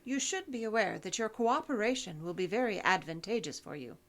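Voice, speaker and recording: A businesslike female interrogator, played by a woman in an audio drama. She speaks at a middling pitch in a cool, dry, formal manner, controlled and persistent. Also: animated, brisk, close to an everyday voice. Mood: measured, pressing.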